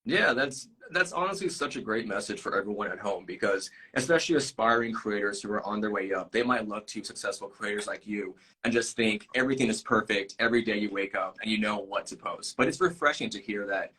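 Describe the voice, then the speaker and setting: A man's voice: fairly low pitch, fairly quick, few pauses, bright, forceful tone, breathy edge, low-quality recording. A man hosting a live-stream interview, upbeat and enthusiastic, laughing often. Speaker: live show host.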